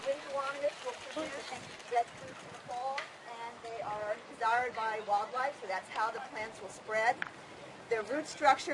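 A middle-aged woman leading an informal outdoor demonstration for a group as a plant educator. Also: on the high side. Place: open grassy park field, outdoors. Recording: clear outdoor ambient noise. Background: outdoor ambience.

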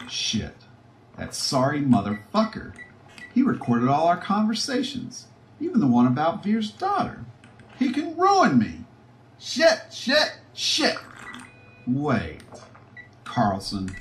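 A man playing a foul-mouthed, paranoid, scheming scientist in a theatrical sci-fi audio drama, talking with gloating menace. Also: medium pitch. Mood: irritated, smug, then panicked, angry. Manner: muttering to himself, theatrical, swinging between glee and panic. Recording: roomy echo with background noise.

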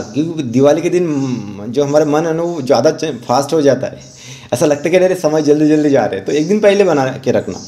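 An Indian man giving an informal step-by-step tutorial voice-over as an instructor, halting and repeating himself as he explains. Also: fairly high pitch, dark tone. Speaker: rangoli art instructor.

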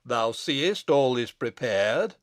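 A man voicing a sinister, menacing wizard who speaks deliberate archaic English in a gothic storybook. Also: medium pitch, lively intonation, no room sound, studio quality. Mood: menacing, significant. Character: sinister, menacing male wizard taunting his captive.

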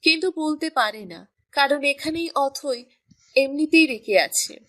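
A woman's voice: high voice, expressive, a little slow. A woman reading scripted narration for a romantic story as its storyteller.

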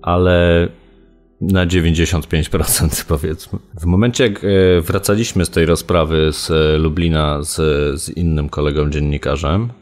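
Very low-voiced man recounting, hesitantly. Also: fairly even intonation, normal speed, darkish tone, faint music behind. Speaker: journalist giving an interview. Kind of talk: casual spoken recollection.

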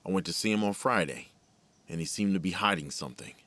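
A worried man, plain-spoken and weary, played by an actor in an audio drama. His low voice moves around a lot in pitch as he talks bluntly. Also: animated, quick pace, fairly bright tone, no echo. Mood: troubled, blunt.

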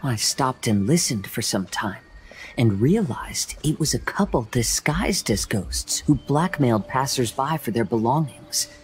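A young man voicing a gentle, composed wandering swordsman in an anime game, soft-spoken and poetic, like a storyteller. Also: medium pitch, expressive, measured pace, a little hiss or hum, natural voice. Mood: calm storytelling.

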